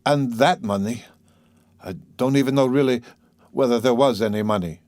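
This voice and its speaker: A man reads as a young male killer tormented by guilt, in a storybook drama. He is bitter and self-loathing, speaking in agitated, halting bursts in a close, dry recording. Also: mid-range voice, a little mellow, no echo, pitched above the performer's own voice, natural voice. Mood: brooding, musing. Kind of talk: dramatic audiobook character reading.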